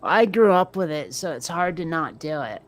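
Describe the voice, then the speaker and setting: A woman's voice: low voice, sing-song, normal speed. A woman from Vermont with a faint New England accent, chatting casually as co-host and filling her talk with "like" and side remarks. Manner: chatty, many "like"s and asides. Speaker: podcast co-host. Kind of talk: casual chat.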